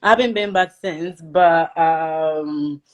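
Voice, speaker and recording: Low-voiced young-sounding Ghanaian-born woman, chatty. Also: takes it slowly. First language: Twi. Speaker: interview guest. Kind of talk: casual chat.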